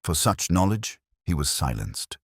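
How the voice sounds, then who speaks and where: A man's voice: low-pitched, sing-song, measured pace, husky, no echo, clean studio sound, heavily acted. A man voicing a brilliant, unyielding Victorian London detective in an audiobook, formal and decisive in his speech. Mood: keen, deducing.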